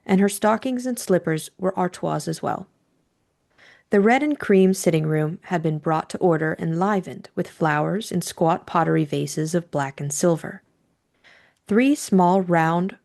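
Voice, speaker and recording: A woman's voice: on the low side, creaky, a little mellow. A woman reading scripted audiobook narration as the storyteller.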